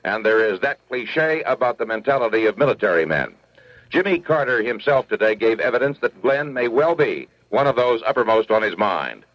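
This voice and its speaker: A male correspondent delivering a scripted radio news report in a crisp, formal way. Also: medium pitch, animated, normal speed, no room sound. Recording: dry, dull lo-fi radio audio.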